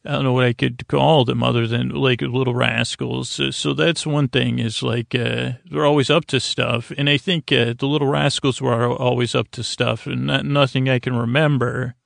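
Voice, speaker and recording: A man with a creaky, gravelly voice hosting a bedtime podcast in a rambling, halting monologue, often interrupting and restarting himself. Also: mid-range voice, little pitch movement, a bit bright, no room sound, clean studio sound. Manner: halting, meandering, self-interrupting with many restarts. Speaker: podcast host. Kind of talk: rambling bedtime monologue to keep listeners company.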